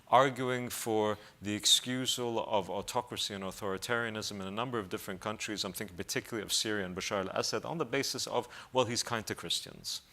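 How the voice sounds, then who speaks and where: Bright-voiced male panelist. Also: on the low side. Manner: measured, with fillers and restarts. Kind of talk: panel discussion.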